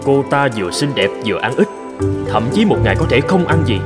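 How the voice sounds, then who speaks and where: A man's voice: mid-range voice, big pitch swings, brisk, lightly acted. Guy doing fussy cheapskate old master in a cartoon, grumbly, very up and down, music under it. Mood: suspicious. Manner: calculating, exclaiming, grumbling. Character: stingy, fussy old rich male master seeking a thrifty wife. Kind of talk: animated folk-tale dubbing.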